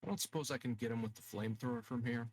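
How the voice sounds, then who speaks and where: A man's voice: medium pitch, fairly even intonation, racing. A man playing a tabletop game, casually and tentatively asking about his moves.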